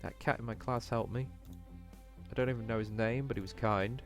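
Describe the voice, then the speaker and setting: A man's voice: medium pitch, fairly even intonation, a little fast, faint music behind, lightly acted. A man voicing an anxious, self-doubting young cat student who talks fretfully to himself in a visual novel. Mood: wistful, nervous.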